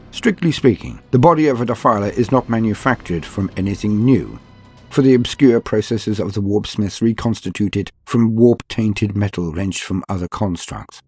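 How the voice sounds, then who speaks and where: A man reading scripted dark fantasy lore as a narrator, in a grave, dramatic and measured way. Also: lowish voice, husky.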